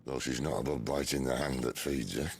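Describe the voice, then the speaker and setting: Cid, a gravelly outlaw. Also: male voice, rumbling low, fairly even intonation, a little hiss or hum. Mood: wry. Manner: dry, wry and unhurried. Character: worldly gruff male outlaw leader, middle-aged. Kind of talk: video game cutscene acting. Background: orchestral game score.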